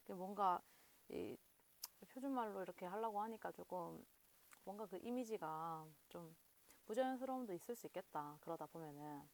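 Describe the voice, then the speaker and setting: Woman host, regional Korean dialect, lowish voice, loose rambling delivery. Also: lively intonation, normal speed. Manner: rambling, many fillers, laughs often. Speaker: video host. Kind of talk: casual talk to camera.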